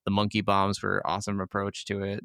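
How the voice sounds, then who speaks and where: A man with a slightly low, resonant voice co-hosts a casual gaming chat. He sounds enthusiastic and informal, swearing freely, in a very dry recording. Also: monotone, a little fast, a bit bright, clean tone, no room sound. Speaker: podcast co-host.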